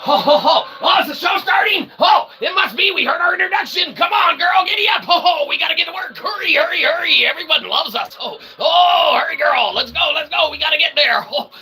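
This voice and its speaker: A man voicing an excitable show host who hurries along with frantic exclamations, in a comic show. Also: very high voice, brisk, hardly any pauses, raised voice, pitched above the performer's own voice, full character voice. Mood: frantic, excited.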